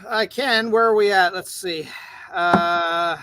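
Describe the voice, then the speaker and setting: A man's voice: sits high, takes it slowly, background noise. A man co-hosting a casual beer show, reading viewer comments aloud with joking put-on accents. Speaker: podcast co-host reading chat comments. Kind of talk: casual banter.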